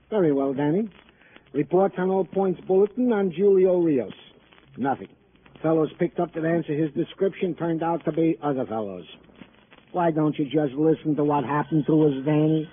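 An actor playing a chatty, droll police colleague in an old radio drama, with a wordy, mock-formal, meandering manner. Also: male voice, a little high, moderate pace, lightly acted. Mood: reluctant, mock-formal. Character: chatty, droll male police colleague telling domestic anecdotes. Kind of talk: old-time radio comic-relief acting. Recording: narrow, lightly noisy old radio recording.

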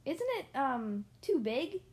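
A small, fretful husband bird from a children's storybook, voiced high and emotional, as a woman puts him on. His pitch climbs steeply and swings widely. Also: very animated, slow pace, darkish tone, heavily acted. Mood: cheerful.

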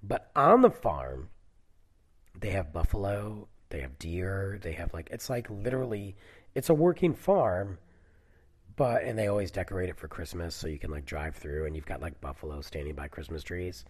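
Stuffy, husky man in his forties. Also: sits low, animated, moderate pace. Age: 40s. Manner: rambling, digressive, many fillers. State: stuffy from a cold. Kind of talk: casual solo chat.

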